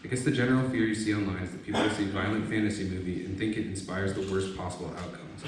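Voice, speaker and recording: A man's voice: sits low, steady tone, quick pace. A man reading a written personal essay aloud to an audience at a book launch. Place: echoey room at a live book launch event. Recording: very echoey room, distant mic, faint noise.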